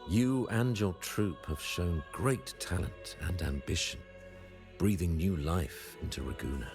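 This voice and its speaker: An actor playing a solemn, commanding high priest in a video game, speaking in a pompous, measured, formal and reverent way with a gravelly voice. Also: male voice, over music. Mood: stately, gracious. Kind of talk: video game voice acting, formal ceremonial speech.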